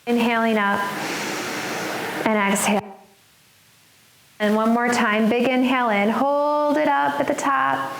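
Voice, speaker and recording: A woman yoga instructor guiding a class in a soothing, unhurried manner, leaving pauses between her cues. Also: a little high, fairly even intonation, creaky. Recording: roomy echo with background noise.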